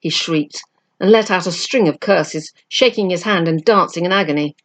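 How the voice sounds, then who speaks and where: Low-voiced woman reading a story. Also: moderate pace. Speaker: amateur book reader. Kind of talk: scripted storybook narration.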